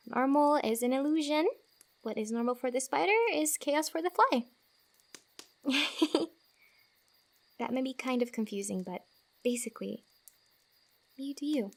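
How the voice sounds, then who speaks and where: A woman playing a friendly, teasing fantasy wanderer who gives comfort in a soft-spoken, giggly, rambling ASMR roleplay. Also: sits high, measured pace, pauses often. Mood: gently reassuring.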